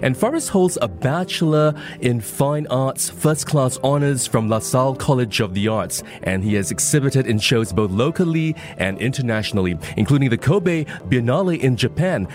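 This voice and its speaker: Radio host, polished and smooth. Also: male voice, mid-range voice, lively intonation, normal speed, hardly any pauses, a little mellow, soft background music. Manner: polished broadcast delivery with frequent "you know". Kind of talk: evening radio phone-in interview.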